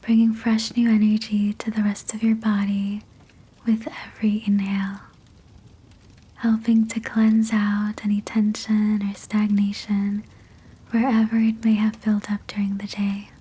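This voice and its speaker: A woman whispers a guided sleep hypnosis in a very breathy, husky tone. Her delivery is slow and almost completely level in pitch. She phrases everything gently and soothingly, like a bedtime talk-down. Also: completely flat delivery, low-quality recording.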